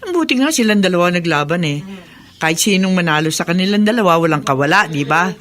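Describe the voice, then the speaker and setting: A woman's voice: lowish voice, lively intonation, fast, fairly bright tone. A woman playing a good-natured middle-aged widowed mother in a radio drama, chatty and reassuring. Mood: friendly, reassuring. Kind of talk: Tagalog radio drama acting.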